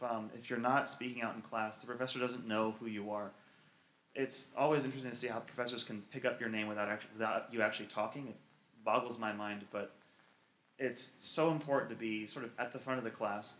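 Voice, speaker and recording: A man's voice: mid-range voice, a bit bright. A young man on a graduate student panel, speaking emphatically and directly to the audience. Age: young adult. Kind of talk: panel talk. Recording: echoey room.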